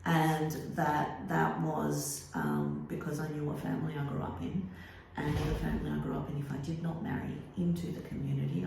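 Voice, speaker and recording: An Australian woman, an author and teacher, answering interview questions thoughtfully, with many pauses and ums. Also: sits low, vocal fry, darkish tone, slight background noise. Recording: echoey room.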